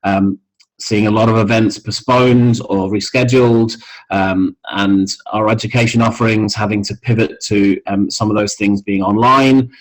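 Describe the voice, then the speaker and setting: A man's voice: fairly low pitch, fairly even intonation, moderate pace, poor audio. A man from Scotland with a mild, mixed international accent, a charity head talking casually in an interview over a video call, with many ums and restarts. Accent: mixed international, mild Scottish. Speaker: charity CEO, interview guest.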